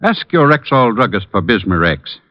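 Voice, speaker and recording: An American man reads a scripted vintage radio commercial. His voice is low and animated, and the recording is dull and dry. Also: moderate pace, no echo. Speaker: commercial spokesman. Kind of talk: scripted old radio advertisement.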